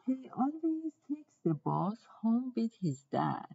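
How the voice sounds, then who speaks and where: A woman reading a children's picture book aloud in simple, deliberate phrases for young listeners. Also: fairly high pitch, sing-song, gravelly, breathy edge, muffled recording.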